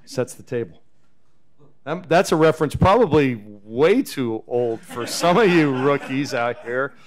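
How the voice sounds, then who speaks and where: A man in his fifties, a head coach, talking casually at a post-game press conference into a table microphone, relaxed and chatty. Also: mid-range voice, measured pace. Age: 50s. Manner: relaxed, chatty, chuckles often. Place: press conference room with backdrop. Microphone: table microphone on a stand.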